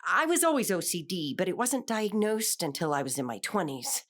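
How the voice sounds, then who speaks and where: A woman's voice: on the low side, big pitch swings, normal speed. A woman acting an anxious, controlling middle-aged wife and mother in an audio drama, with an earnest, exacting manner. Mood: reflective, defensive. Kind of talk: audio drama acting, confessional monologue and dialogue.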